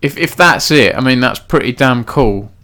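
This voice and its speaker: A man talks through the week's esports news in a relaxed, unscripted way. His delivery stays fairly flat and monotone, and he often pauses on filler words as he thinks aloud. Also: mid-range voice. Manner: self-described monotone, filler-heavy delivery. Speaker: esports news editor and host. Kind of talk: casual weekly news roundup.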